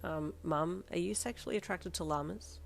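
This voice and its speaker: A woman voicing a sulky teenage girl who talks in a flat, deadpan way. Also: lowish voice, normal speed, vocal fry, a little hiss or hum.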